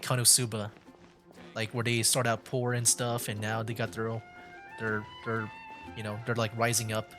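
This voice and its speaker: A man giving casual, rambling commentary as he streams a video game, talking conversationally. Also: mid-range voice.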